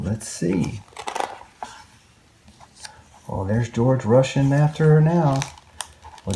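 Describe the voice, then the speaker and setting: A man's voice: mid-range voice, vocal fry, warm tone. A man reading a children's story aloud expressively, with exclamations and wondering asides to young listeners.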